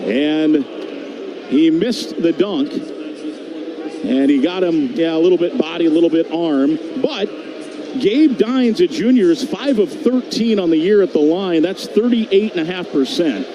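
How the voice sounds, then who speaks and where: A man's voice: fairly high pitch, animated, a little slow, a crowd in the background. A man giving live radio-style basketball play-by-play as a commentator, with noisy arena ambience behind him. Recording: clear background noise.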